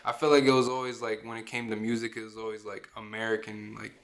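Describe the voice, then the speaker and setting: A man with a lowish voice talks slowly and hesitantly in a casual podcast chat. He searches for words, with a lot of filler. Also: little pitch movement.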